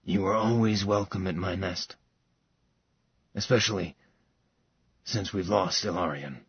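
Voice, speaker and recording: A solemn, world-weary dragon-shifter from a fantasy storybook, voiced by a man reading aloud. He speaks low and measured, his words sinking toward a hush. The husky voice sits in a dry, slightly dull recording. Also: no room sound, exaggerated voice. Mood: grave.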